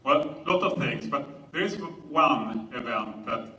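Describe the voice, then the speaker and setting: A man with a Swedish accent presents to an audience in a bright, clear-cut tone. His delivery is measured and explanatory, like a conference talk. The sound is roomy and picked up from a distance, with a little noise. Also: mid-range voice, lively intonation. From Sweden. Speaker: conference speaker. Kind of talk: public presentation lecture.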